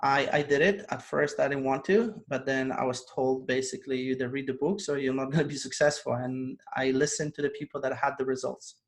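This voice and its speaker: A man's voice: medium pitch, fairly even intonation, brisk, hardly any pauses. Man telling his story. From Poland. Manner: earnest storytelling, laughs often. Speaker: guest, online business coach. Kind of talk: casual interview over video call. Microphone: video call.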